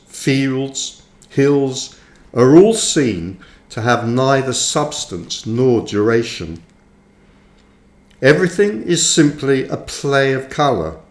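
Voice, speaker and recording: A man narrates a book in an echoey, roomy space. His delivery is slow and deliberate, broken into short measured phrases with frequent pauses. His pitch rises and falls in a lively, animated way as he reads. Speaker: author reading own book. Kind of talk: audiobook-style scripted narration.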